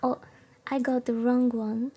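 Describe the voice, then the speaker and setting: A woman's voice: a little high, little pitch movement, unhurried, mellow, husky. A woman VTuber livestreaming a visual novel, chatting casually, rambling and reacting aloud as she reads along. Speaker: VTuber streamer.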